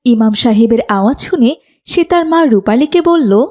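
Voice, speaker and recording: A woman's voice: on the high side, expressive, moderate pace, background noise. A woman reading scripted narration for a children's story as its narrator.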